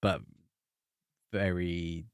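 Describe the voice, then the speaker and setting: Low-voiced man in thoughtful discussion. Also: flat delivery, drawn-out words, smooth, dry recording, clean studio sound. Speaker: podcast co-host. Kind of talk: casual book discussion.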